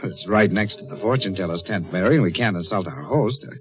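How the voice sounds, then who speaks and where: A man playing a good-humored, gently teasing young fiancé in a 1940s radio drama. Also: on the low side, lively intonation, a little fast, lightly acted. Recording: muffled old radio recording.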